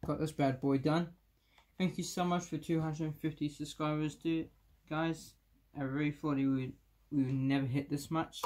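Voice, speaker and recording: A man's voice: on the high side, fairly even intonation, normal speed, a little mellow. A man hosting a casual hands-on demo, thinking aloud in short, unscripted fragments. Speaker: video host testing speakers. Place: indoor room, seated on floor by speakers. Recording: clear background noise, clicks.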